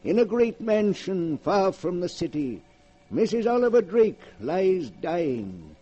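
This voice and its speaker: An actor playing a mysterious male keeper of a book of dark tales, a slow, sinister, relishing storyteller in 1940s radio acting. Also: high voice, sing-song, husky, faint music behind, full character voice. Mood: musing. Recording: dull lo-fi 1940s radio recording.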